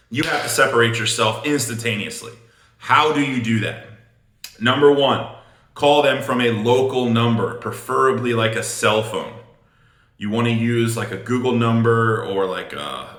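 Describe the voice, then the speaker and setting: A man's voice: medium pitch, sharp tone. A man giving an instructional sales talk as a coach, emphatic and informal, blunt, repeating words for punch. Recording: roomy, echoing room sound.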